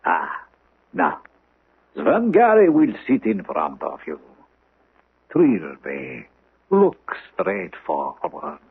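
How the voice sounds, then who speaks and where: A sinister male hypnotist, pompous and controlling. His voice is low and very husky, with slow, swooping delivery. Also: wildly swooping pitch, heavily acted. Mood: commanding, hypnotic. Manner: pompous, speaks of himself in third person. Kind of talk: radio drama acting. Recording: scratchy, narrow-band old radio recording.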